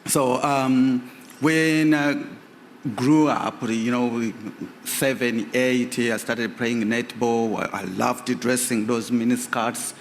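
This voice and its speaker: A man's voice: medium pitch, steady tone, slow pace, slight background noise. A man from Uganda, a guest in a live radio interview, telling his personal story hesitantly, with many fillers. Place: radio theatre stage. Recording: reverberant live theatre.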